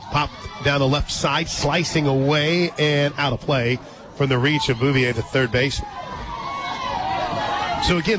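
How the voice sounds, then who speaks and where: A man's voice: fairly high pitch, noisy background. A man doing live radio play-by-play of a sports game, with conversational asides between calls. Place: outdoor ballpark radio booth. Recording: crowd and music behind the voice. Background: loud crowd, music.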